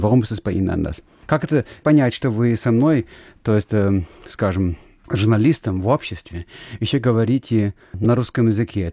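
A man hosting a radio interview, calm and measured, who also interprets his guest's answers. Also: lowish voice, no echo, studio quality. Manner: calm, measured, speaks German and Russian.

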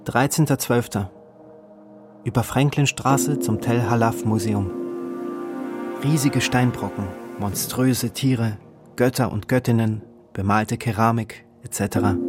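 A man reading a literary travel diary as scripted narration, in clipped, terse phrases. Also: on the low side.

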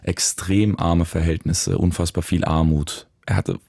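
A man, a musician, talking reflectively in an interview, searching for words with pauses and restarts. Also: deep voice, little pitch movement, gravelly, darkish tone, slightly rough, no room sound, clean studio sound. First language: German. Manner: thoughtful, searching, with fillers and restarts. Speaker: musician guest.

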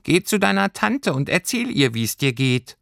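A man's voice: fairly high pitch, very animated, normal speed, vocal fry, a bit bright, no echo, studio quality, pitched above the performer's own voice, full character voice. A man voicing a small, furry storybook creature with a tiny, plaintive, childlike voice. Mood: gently advising. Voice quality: tiny little voice. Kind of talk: storybook character voice.

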